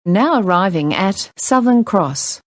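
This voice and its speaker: A woman making formal, measured, clearly enunciated scripted train announcements. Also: medium pitch, animated, no echo. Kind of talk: scripted public transport announcements.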